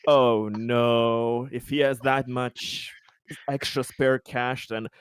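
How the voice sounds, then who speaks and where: A man's voice: medium pitch, flat delivery, takes it slowly, clean tone, no echo, studio quality. A man playing a tabletop game who swears freely, laughs often and acts out his character's worried inner thoughts. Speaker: player. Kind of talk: animated tabletop game chat.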